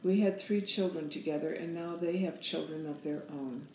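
A woman in her sixties narrating a storybook aloud in a measured, solemn manner. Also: low-pitched, warm tone, roomy sound, a little hiss or hum, rough recording. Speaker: author reading own picture book aloud.

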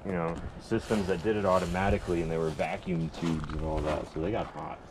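A man exploring an abandoned base with a friend, speaking in a dry, low-key way as he explains what they find. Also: fairly even intonation, fast talker, background noise. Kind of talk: casual chat while exploring. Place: abandoned military base, inside buildings.

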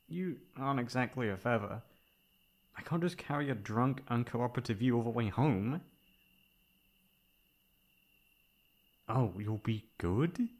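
A man's voice: mid-range voice, a little mellow. Man acting as a caring, teasing young boyfriend. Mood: flustered, protesting. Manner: coaxing, breathy sighs, pet names. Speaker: voice actor playing a boyfriend. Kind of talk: ASMR boyfriend roleplay.

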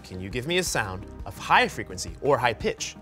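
A man in his twenties giving an upbeat, encouraging science demonstration for children, with an animated and exclamatory manner. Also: medium pitch, fairly quick. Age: 20s. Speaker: science show host. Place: TV studio set. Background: music.

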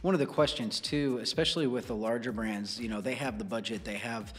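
American man in his thirties, conversational podcast host, medium-pitched voice, slightly reverberant studio. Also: a little fast. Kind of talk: conversational podcast presenting and discussion. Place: small dark indoor studio. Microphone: close broadcast studio microphone on boom arm.

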